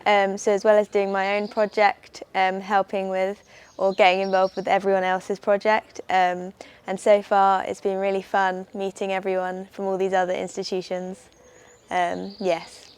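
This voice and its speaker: A young woman with an English accent speaks in a smooth, clear voice. She keeps a fairly level tone and uses lots of fillers as she introduces herself. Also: medium pitch, completely flat delivery, moderate pace. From England. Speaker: PhD student. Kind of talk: short self-introduction to camera.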